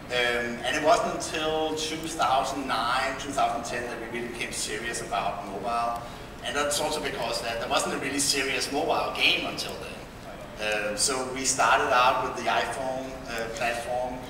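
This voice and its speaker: A man with a Danish accent speaking conversationally as a tech founder on a panel, halting at times. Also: mid-range voice. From Denmark. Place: conference panel stage in a large hall. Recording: very echoey hall, distant mic, room noise.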